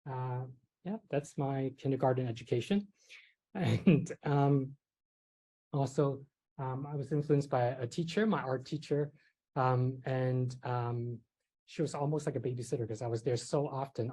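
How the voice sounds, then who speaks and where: A man's voice: mid-range voice, warm tone. A middle-aged man from Hong Kong giving a psychiatry lecture, telling personal stories in a conversational way with many fillers and light self-deprecating laughs. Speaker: psychiatry professor. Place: lecture hall.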